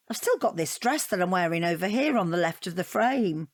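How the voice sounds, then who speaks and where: A woman's voice: on the low side, expressive, normal speed, dry recording, clean studio sound. An actress playing a rambling, earnest, self-deprecating divorced woman in a naturalistic audio drama. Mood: flustered, wistful. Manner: rambling, earnest, easily flustered. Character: chatty, self-deprecating divorced woman taking an art appreciation class.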